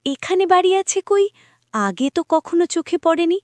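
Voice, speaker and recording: A woman's voice: very high-pitched, lively intonation, moderate pace, darkish tone, no room sound. A woman voicing a sweet, put-upon and dutiful young village girl in a cartoon, earnest and polite. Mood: amazed. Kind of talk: cartoon voice acting.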